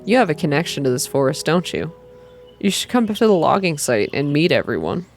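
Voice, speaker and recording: A grave male activist from a visual novel, played by a woman. He speaks low and measured, with mystical conviction, over faint music. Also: very animated. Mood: earnest. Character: grave, mystical man, passionate forest activist.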